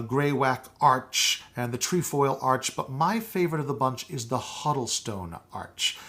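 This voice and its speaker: A man in his forties, working as a tour guide, talks to his webcam from a small living room. His voice sits at a mid pitch, and he speaks in an easy, enthusiastic way, scattering "uh" and "you know" through his sentences. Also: fairly bright tone. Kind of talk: casual video tour talk to camera.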